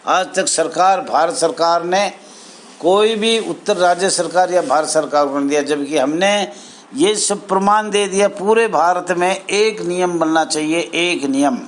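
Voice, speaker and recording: A man giving an informal grievance speech to members as an association spokesman, in halting, repetitive phrases with emphatic appeals. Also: sits high. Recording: clear background noise, amateur recording.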